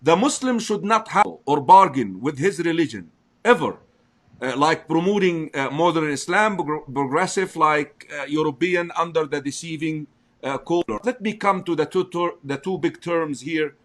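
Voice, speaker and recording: A man from Egypt and an Arabic speaker, teaching as a sheikh in English. He explains slowly and recites Arabic verses. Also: on the high side, lively intonation, a bit bright. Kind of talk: religious teaching discussion.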